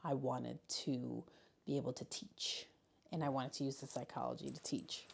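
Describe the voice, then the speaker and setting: A woman from New York City, a high school learning specialist, reflecting on her path into teaching in an interview, with frequent restarts and fillers. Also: fairly even intonation, normal speed, vocal fry, slightly rough. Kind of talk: interview conversation.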